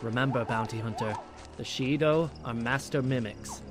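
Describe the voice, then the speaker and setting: A man voicing a solemn priestess of an alien order in a comic dub, formal and measured. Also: medium pitch, natural voice. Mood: solemn, ominous. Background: music.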